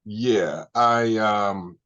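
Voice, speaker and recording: A man's voice: fairly low pitch, very slow, a bit bright, dull audio. A middle-aged American man giving conversational, explanatory answers as an interview guest. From Evanston, Illinois, United States.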